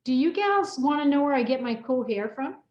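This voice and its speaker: Female chat host, higher pitched, effusive and encouraging, echoey video call sound. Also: animated, fairly quick, dull audio. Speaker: host, coach and book compiler. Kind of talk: casual chat, hosting a group interview.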